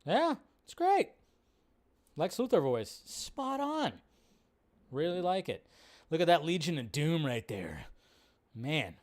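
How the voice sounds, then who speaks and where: A man's voice: a little high, big pitch swings, slow pace, pauses often, slight background noise. A man hosting a live stream with casual, enthusiastic, rambling commentary over a video.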